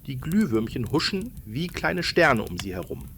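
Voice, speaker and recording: A man's voice: medium pitch, sing-song, normal speed, a bit bright, a little hiss or hum. A man narrating a scripted bedtime story for children, explaining things and addressing them directly.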